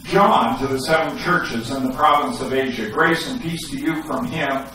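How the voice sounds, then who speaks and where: Middle-aged male pastor, animated sermon delivery, headset mic, very echoey sanctuary heard from a distance. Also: medium pitch, normal speed, slight background noise. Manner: animated, gesturing, rising to emphatic questions. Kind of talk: sermon with scripture reading. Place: church sanctuary, indoors.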